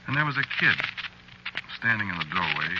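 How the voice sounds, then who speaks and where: A man's voice: sits low, sing-song, fairly quick. A man playing a meek, worried working husband, narrating and acting in a 1940s radio drama in a hesitant, mild, conversational way. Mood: reflective, uneasy. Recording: very noisy, muffled 1940s radio recording.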